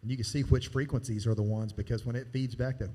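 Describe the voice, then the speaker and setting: A man walks a church team through its sound board in a loose, folksy way, explaining as he works the controls. His voice is mellow and dark, and it carries a long reverb from a live church sanctuary. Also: medium pitch, steady tone, fast. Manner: casual, folksy, talks while demonstrating. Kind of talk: hands-on sound board training.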